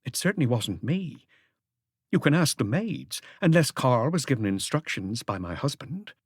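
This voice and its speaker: A man's voice: mid-range voice, very animated, moderate pace, husky, no echo, voice pushed up. A composed, imperious society wife in a mystery audiobook, acted by a man. She speaks formally and curtly, with cool defiance, in a very dry recording. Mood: defensive. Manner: formal, haughty, curt.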